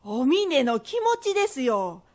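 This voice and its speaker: An indignant merchant's wife of old Japan, played by a woman in a period radio drama. Her voice is high, and her speech is sharp-tongued, old-fashioned and fiercely protective. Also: very animated, a little slow.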